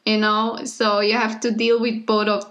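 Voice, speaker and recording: Brief: young woman from Slovenia, Slovenian her first language, podcast co-host, halting casual talk, higher voice. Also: monotone, a bit bright. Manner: hesitant, laughs often. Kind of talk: casual chat.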